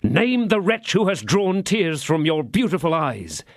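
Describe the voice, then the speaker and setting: Man voicing a young male swordsman, ardent, flattering, sentimental, lifted voice, very lively. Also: fairly high pitch, unhurried, no room sound, clean studio sound, voice pushed up. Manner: theatrical, sentimental pleading.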